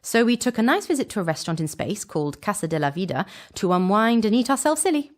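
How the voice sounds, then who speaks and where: A woman plays a wry, breezy time traveller recapping adventures in an audio drama. Her mid-pitched voice is very animated, moving freely up and down, with chatty asides dropped into the scripted narration. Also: fast, natural voice. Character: Jade, breezy, wry young female time-travelling companion.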